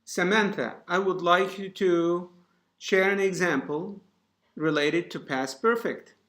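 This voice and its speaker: A man teaching a class, giving deliberate, encouraging instructions to students in an indoor room. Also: high-pitched, fairly even intonation, a bit bright. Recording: echoey room.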